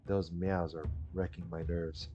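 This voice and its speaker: Male game narrator, deep and mellow, dramatic story reading with slight stumbles, over faint music. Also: flat delivery, a little slow. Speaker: gaming video creator. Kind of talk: reading visual novel text aloud, dramatic narration. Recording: dull, muffled lo-fi recording.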